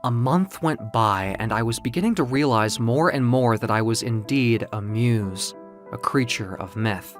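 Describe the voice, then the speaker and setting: A man's voice: mid-range voice, a little slow, soft background music. A man narrating a scripted first-person story in a dramatic, emotive way.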